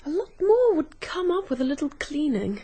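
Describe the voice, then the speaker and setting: A confident young English professional. Her voice is high and very lively, swinging freely in pitch in radio drama style. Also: normal speed. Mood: self-assured. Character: bright, self-assured young English art restorer.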